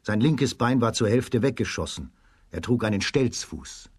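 A man narrating a children's radio play as a scripted storyteller, with a husky voice. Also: medium pitch, expressive, normal speed, dry recording.

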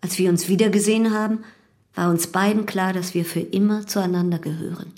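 An actress playing a calm, unashamed young widow in love, in a German radio drama. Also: female voice, medium pitch, brisk, natural voice. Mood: composed, matter-of-fact. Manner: composed, unashamed.

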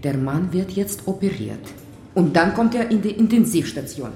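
Stern hospital nurse, brisk, indignant, lively pitch, long echoing reverb, radio drama. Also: female voice. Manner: brisk, firm. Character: strict, indignant female hospital nurse barring the way. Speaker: actor in a radio crime play.

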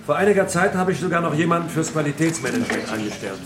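A man's voice: a little high, lively intonation, fast, over music, natural voice. An actor in a radio play playing a businesslike male manager who explains things in a formal, matter-of-fact way. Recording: roomy, with clear clicking background noise.

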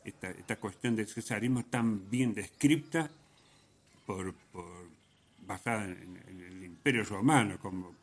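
A man with an Argentine accent and a lowish voice delivers an informal radio monologue. He is vehement and irreverent, leaning on slang, stopping and repeating himself as he argues. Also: a little slow, breathy edge. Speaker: radio host.

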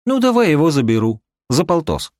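Casting: man acting a shrewd, stubborn audiobook boss, persuasive and slangy, raised pitch with lively swings. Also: fairly quick, no room sound, clean studio sound. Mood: haggling. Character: shrewd male boss haggling briskly, stubborn and practical.

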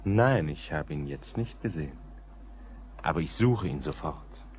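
Deep voice, slow measured delivery, grave reflective gentleman narrator, radio play, slight noise. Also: animated. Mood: gentle, earnest. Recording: faint background noise.

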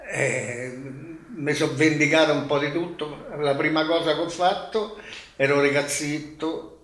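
An older man recalls his past very slowly, with a gravelly mid-pitched voice. The room echoes around him. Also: fairly bright tone. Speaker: war survivor. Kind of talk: slow personal reminiscence. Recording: echoing room.

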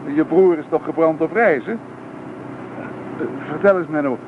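A man's voice: a little high, normal speed, lightly acted. An actor playing a friendly, reassuring middle-aged man chatting in a Dutch radio play. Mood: friendly, curious. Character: friendly, reassuring older acquaintance giving a lift. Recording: tinny, noisy old radio recording, vehicle noise.

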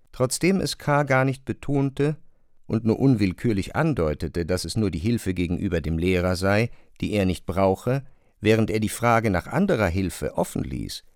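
A man narrating a literary radio drama in a measured, precise manner. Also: on the low side, no echo, clean studio sound.

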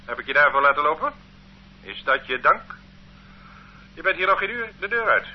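Brief: a sardonic police boss with a very lively voice, muffled old radio sound. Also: male voice, on the high side, normal speed. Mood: sarcastic. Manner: sarcastic, commanding. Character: sardonic male police chief. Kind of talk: radio play acting. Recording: dull old radio recording, faint hum.

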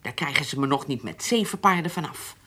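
Husky, low-voiced stubborn old farm mother. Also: expressive, fairly quick, bright, forceful tone, full character voice. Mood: indignant, stubborn. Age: older. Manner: plaintive, obstinate. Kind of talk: radio play acting.

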